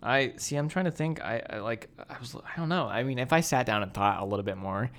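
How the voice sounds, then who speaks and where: A man's voice: mid-range voice, fast, fairly bright tone. A young adult American man co-hosting a podcast in casual, slangy, loosely rambling conversation. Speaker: podcast co-host. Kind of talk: casual chat.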